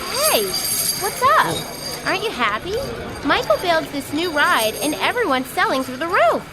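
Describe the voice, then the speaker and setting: An actress playing a brash, excitable female carnival worker, slangy, pushy and teasing, in an audio drama. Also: extremely high pitch, normal speed, background noise.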